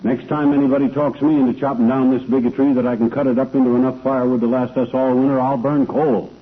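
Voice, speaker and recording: Male actor as impatient, slangy, blustering everyman husband for vintage radio comedy; mid pitch, noisy narrow-band recording. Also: little pitch movement, quick pace, natural voice. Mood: grumbling. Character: blustery, grumbling middle-aged husband, everyman schemer. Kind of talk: 1940s-50s radio comedy acting.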